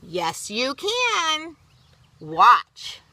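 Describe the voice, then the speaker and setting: Woman as bright, patient storybook grandmother. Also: high-pitched, wildly swooping pitch, very slow, dry recording, voice pushed up, heavily acted. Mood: patient, instructive. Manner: emphatic, patiently explaining. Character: grandmother, patient garden teacher fond of worms.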